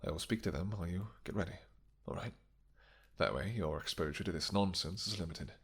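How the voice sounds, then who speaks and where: A man's voice: low voice, fairly quick, slightly rough. A man playing a tender, possessive vampire king with formal, courtly phrasing in a romantic fantasy audio roleplay. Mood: reassuring, concerned.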